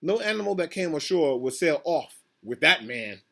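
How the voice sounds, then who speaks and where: Casting: male principal doing a children's read-aloud, slightly raised pitch, conversational asides, questions to the listeners. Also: very animated, normal speed, creaky, a bit bright. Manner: addresses children directly, asks questions, repeats himself. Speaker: school principal reading aloud.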